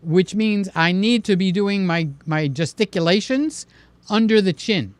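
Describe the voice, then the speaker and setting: A man's voice: high-pitched, a little slow, smooth, no echo. A man hosting a casual live stream, answering viewers and stressing his points with a repeated "okay?". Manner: emphatic, ends points with "okay?". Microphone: mic placed in front of him.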